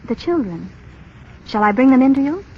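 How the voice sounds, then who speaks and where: An actress playing a deferential household maid in 1930s radio drama. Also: female voice, sits high, big pitch swings, normal speed, husky, heavily acted. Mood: polite. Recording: dull 1930s radio recording.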